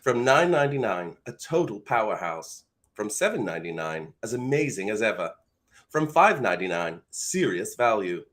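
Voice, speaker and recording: A man's voice: lowish voice, very animated, normal speed, a bit bright. English-accented male announcer for a product spot, acted by a man. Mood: polished sales. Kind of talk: commercial voice-over read.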